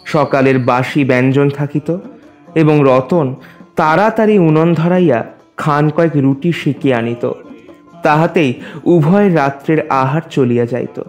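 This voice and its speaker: A man narrating a literary story as a scripted reading, in a formal, measured storytelling manner. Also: a little high, darkish tone.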